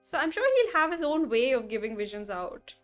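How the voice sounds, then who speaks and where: A woman's voice: fairly high pitch, brisk, faint music behind. A young woman streamer giving casual, gushing, slangy live reactions to a video game. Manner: excitable, gushing fan, slangy.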